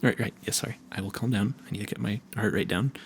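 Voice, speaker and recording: A low, gravelly male voice over faint background noise. It is a nervous, smitten vampire hunter acted by a man in an intimate audio roleplay. He stammers, halts and keeps correcting himself, flustered and clueless. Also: fast talker, natural voice. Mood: nervous, settling down.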